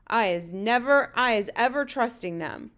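A woman's voice: on the high side, animated, moderate pace, a bit bright. A woman voicing a kindly, flustered male giant from a children's storybook, with folksy garbled grammar and made-up words. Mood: explaining, earnest.

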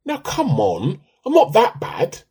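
A man's voice: high-pitched, wildly swooping pitch, takes it slowly, warm tone, husky, full character voice. A man playing a put-upon, dryly sarcastic middle-aged husband in a radio play. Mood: defensive.

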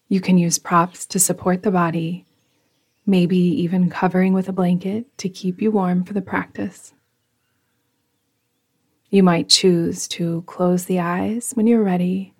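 A woman leads a guided meditation very slowly and softly. Her voice is mellow and lowish, with a gravelly creak at the edges. She keeps an even, soothing flow that often thins into a breathy whisper. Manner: soft, breathy, hushed delivery trailing into whispers. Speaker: yoga nidra guide.

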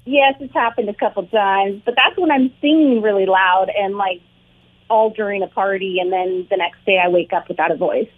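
A woman's voice: mid-range voice, moderate pace. A woman, co-hosting a talk show in bubbly, chatty talk with frequent laughter. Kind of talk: casual on-air chat. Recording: thin phone-line sound.

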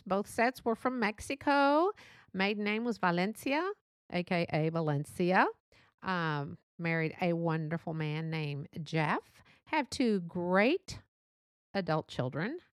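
A woman's voice: on the low side, no room sound, studio quality. Chatty middle-aged Texan woman host. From Texas. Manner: chatty, rambling, self-deprecating. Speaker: podcast host. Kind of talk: unscripted solo podcast monologue.

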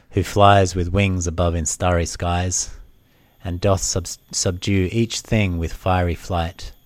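A man voicing a solemn ancient oracle, reciting a grim prophecy in slow, measured verse for a myth retelling. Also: deep voice, darkish tone, dry recording. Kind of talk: dramatic storytelling of an old myth.

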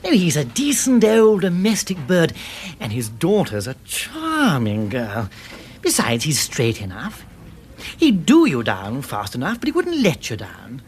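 An affable, easily flustered English upper-class financier in a radio play. He blusters in slang with wildly swinging pitch, over clear background noise. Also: huge pitch swings, a little slow, exaggerated voice. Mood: blustering, gossipy. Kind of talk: radio drama acting.

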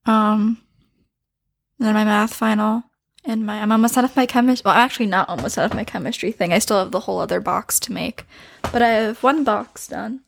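A teenage girl co-hosting a casual podcast with her dad, chatty and giggly, with quick asides. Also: mid-range voice, steady tone, gravelly, a little hiss or hum. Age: teens. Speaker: co-host, daughter.

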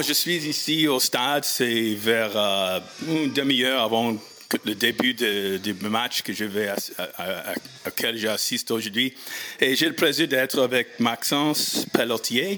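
A man from the United States with an American accent, a native English speaker hosting casually, halting and repeating words as he searches for phrasing. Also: mid-range voice, bright, forceful tone. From United States, Missouri. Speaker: show host and interviewer. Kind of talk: casual on-location introduction and interview.

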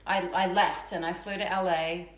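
A woman's voice: on the low side, little pitch movement, moderate pace, roomy sound. A woman telling a rambling personal story in casual chat, laughing often. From New Zealand. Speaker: guest. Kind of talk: casual storytelling before a live audience.

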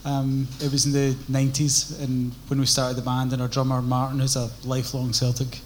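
A man's voice: mid-range voice, completely flat delivery, fairly quick, darkish tone, slight background noise. A man, a band guitarist, recalling things thoughtfully and a little hesitantly as a panel guest.

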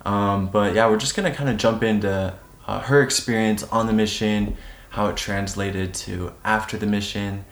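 A man's voice: on the low side, fairly even intonation, moderate pace. A man hosting a casual podcast interview, upbeat and enthusiastic, sharing one microphone with his guest. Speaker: podcast host. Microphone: single shared microphone.

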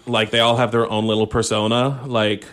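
A man's voice: fairly low pitch, level tone, moderate pace, fairly bright tone. A Canadian man hosting a small community radio show, chatting casually and enthusiastically with lots of fillers about the next song. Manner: rambling, filler-heavy, enthusiastic.

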